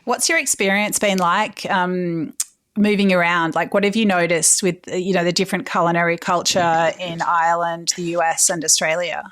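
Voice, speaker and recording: A woman's voice: lowish voice, steady tone, moderate pace, a bit bright, dry recording, studio quality. A woman hosting a food podcast, introducing and questioning her guest enthusiastically. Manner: enthusiastic, friendly, some fillers. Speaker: podcast host. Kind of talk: podcast interview.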